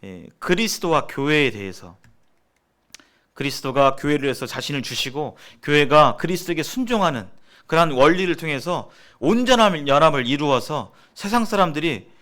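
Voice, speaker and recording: A man's voice: a little high, animated, moderate pace, a bit bright. Earnest Korean-style preaching man, emphatic delivery. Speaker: preacher leading a church seminar. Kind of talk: sermon-like teaching on a church seminar.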